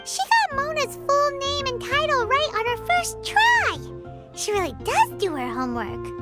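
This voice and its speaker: A woman voicing a small, bubbly, childlike fairy companion in a video game, with a squeaky high voice. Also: big pitch swings, moderate pace, over loud music. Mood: chirpy. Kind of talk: animated video game character acting.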